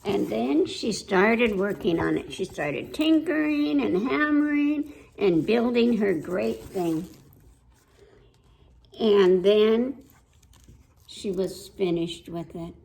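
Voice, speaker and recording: A woman hosting a children's storytime, reading aloud and pausing to put simple questions to young listeners. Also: medium pitch, expressive, vocal fry, darkish tone, slight background noise. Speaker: children's library storytime host.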